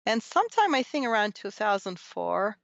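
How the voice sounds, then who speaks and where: A middle-aged woman from Turkey telling her story conversationally as an interview guest, in an animated, tumbling way with self-interruptions and amused asides. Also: mid-range voice, moderate pace, creaky, dry recording, muffled recording. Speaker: interview guest, technology and society researcher.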